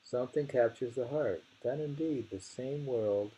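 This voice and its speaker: A man with a gravelly, husky voice reads translated poems aloud and muses over them informally, deliberate with the verse and tentative in his asides. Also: mid-range voice, warm tone, low-quality recording. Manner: deliberate delivery when reading, musing and tentative in asides. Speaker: reader of poetry.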